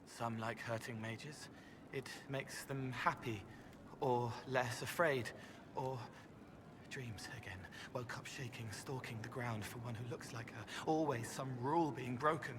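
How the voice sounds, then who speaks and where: An actor playing a gentle, otherworldly young man in a video game, speaking in dreamy, halting, poetic fragments. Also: medium pitch, noisy background, close to an everyday voice. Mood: quiet, haunted. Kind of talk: video game dialogue acting.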